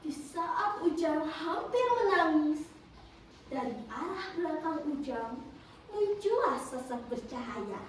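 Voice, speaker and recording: Female storyteller, very animated and slow, heard at a distance in an echoey room. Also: high voice. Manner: dramatic, deliberate phrasing. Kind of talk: theatrical storytelling, scripted narration. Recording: roomy, distant mic with background noise.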